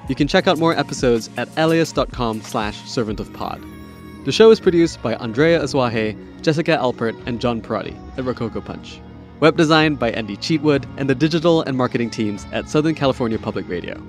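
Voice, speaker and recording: A man with a medium-pitched voice hosts an interview podcast. He speaks in a conversational way, as an interviewer and presenter. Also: animated, brisk, soft background music. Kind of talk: conversational interview and scripted credits.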